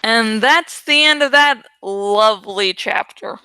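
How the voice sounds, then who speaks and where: Bright, clear-voiced woman, casual and digressive. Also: on the high side, takes it slowly, no room sound. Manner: informal, digressive. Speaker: host of a book read-aloud show. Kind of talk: casual, rambling fan commentary between dramatic readings.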